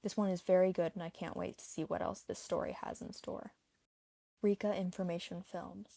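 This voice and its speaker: Low-pitched woman narrating, creaky voice. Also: fairly even intonation, normal speed, mellow. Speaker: narrator of a video essay. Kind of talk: scripted explainer narration with personal opinions.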